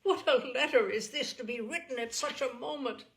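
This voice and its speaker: A woman's voice: high voice, moderate pace, a bit bright, voice pushed up. A woman voicing a passionate, caring and indignant young sister, exclaiming emphatically in a storybook reading. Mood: shocked, dismayed.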